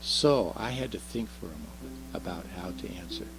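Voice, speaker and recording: Animated male storyteller with creaky voice. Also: low voice, moderate pace, rough recording. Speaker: story reader. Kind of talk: expressive children's story narration. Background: music under the reading.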